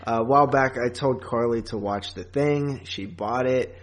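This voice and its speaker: A man's voice: medium pitch, moderate pace. A man chatting casually and with a fan's enthusiasm as a podcast co-host about horror films.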